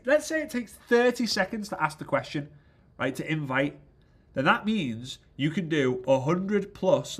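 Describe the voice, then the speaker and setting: Congested man coaching, slightly high voice. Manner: energetic, keeps asking "right?". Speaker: business coach. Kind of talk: motivational training talk.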